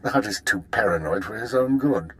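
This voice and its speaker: An actor playing a composed, authoritative imperial spymaster in a video game, speaking in a formal, measured way. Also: male voice, lowish voice, expressive, a bit bright. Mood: briskly instructing. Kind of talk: video game character dialogue.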